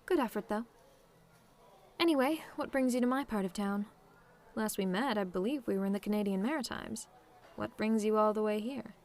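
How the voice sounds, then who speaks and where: Woman acting as a tomboy demon girl, sarcastic n casual, teasing roleplay talk, mid pitch. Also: moderate pace, long pauses, darkish tone, lightly acted. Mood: wry, guarded. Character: sardonic tomboy female demon, relaxed, teasing, secretly fond of simple pleasures. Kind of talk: scripted roleplay monologue to a listener.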